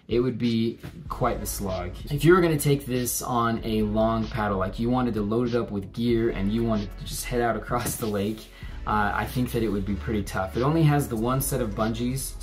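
A man in his thirties reviewing a paddle board to camera, explaining features in a measured way from a room indoors. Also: lowish voice, a little mellow. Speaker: gear reviewer co-host. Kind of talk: product review talk to camera. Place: indoor room with curtains and a plant.

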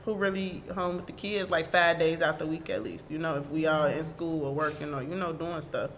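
A woman's voice: low voice, steady tone, brisk. A woman co-hosting a podcast, chatting casually in a loose, easygoing way. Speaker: podcast host. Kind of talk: casual chat. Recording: faint background noise.

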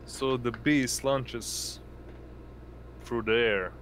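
A man narrating a tabletop roleplaying game as game master, in a halting way, searching for words. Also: medium pitch, steady tone, noisy background.

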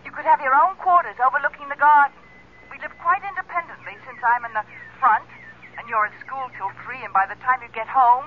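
A woman's voice: fairly high pitch, lightly acted. An actress in a radio drama playing a genteel, well-off woman friend with an assured, coaxing manner. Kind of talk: 1970s radio drama acting. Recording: tinny telephone-like old radio, faint hiss.

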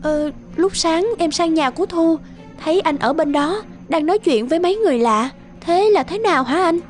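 Woman voicing high-pitched anxious young wife. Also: animated, normal speed. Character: gentle, anxious young fishing-village wife. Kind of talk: animated folk-tale dubbing. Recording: music under the voice.

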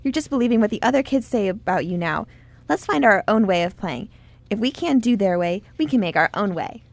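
A woman's voice: mid-range voice, animated, a little fast, vocal fry, no room sound. A woman playing a confident, encouraging young girl in an amateur radio play, speaking in an assured, coaxing manner.

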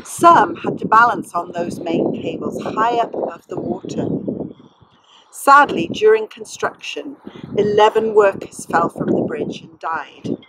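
A middle-aged woman with a slightly husky voice, presenting in a deliberate, explanatory way to children as a school librarian. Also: medium pitch, very animated, noisy background. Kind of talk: educational on-location narration for children.

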